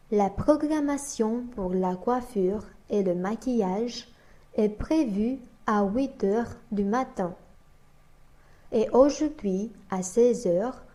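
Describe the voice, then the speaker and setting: A woman slowly reading a scripted learner dialogue aloud, naming each speaker before their line. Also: mid-range voice, warm tone. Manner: slow, deliberate, reads both parts and announces each speaker.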